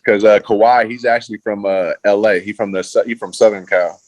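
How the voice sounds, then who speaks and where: A man's voice: on the low side, fairly even intonation, brisk, dry recording. A man being interviewed about his football career, talking casually and loosely with lots of fillers and slang. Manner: laid-back, slangy, trails off with fillers. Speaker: interview guest, former football player. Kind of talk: casual conversation.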